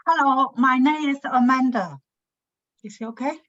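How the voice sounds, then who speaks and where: Woman course participant practising her intro, kinda high voice, muffled lo-fi sound. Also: normal speed, a bit bright.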